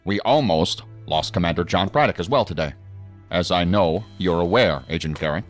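Deep-voiced, stern agency chief. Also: male voice, expressive, moderate pace, faint music behind. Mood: stern, official. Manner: authoritative, clipped, formal. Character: stern, commanding middle-aged male federal agency superior. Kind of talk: science fiction audio drama acting.

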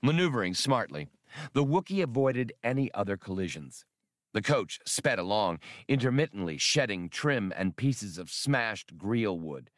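A man's voice: on the low side, very animated, unhurried, dry recording, studio quality. A man reading an adventure novel as scripted, dramatic audiobook narration.

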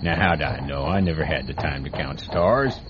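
A man playing a gruff, practical, weary farmer father with folksy rural speech in a radio drama. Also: a bass voice, moderate pace, noisy background. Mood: dismissive, indulgent. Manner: folksy, rural turns of phrase. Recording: dull old radio recording.

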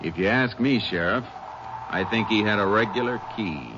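An actor playing a calm, shrewd Texas Ranger in a 1950s radio drama, speaking in a terse, deliberate way. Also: male voice, fairly low pitch, natural voice. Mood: analytical. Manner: terse, deliberate, matter-of-fact. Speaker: lead actor and narrator. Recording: noisy, muffled 1950s radio recording.

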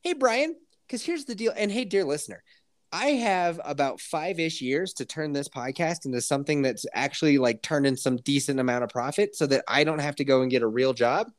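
Woman podcast host, low and animated, profane ranter, stuffy. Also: fairly quick. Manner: profane, ranting, laughs loudly. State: stuffy from a cold. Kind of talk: casual podcast chat.

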